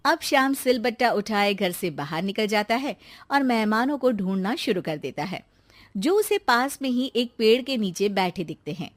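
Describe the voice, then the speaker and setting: A woman's voice: medium pitch, big pitch swings, moderate pace, no room sound. A woman narrating a scripted moral story for a cartoon in a storytelling style.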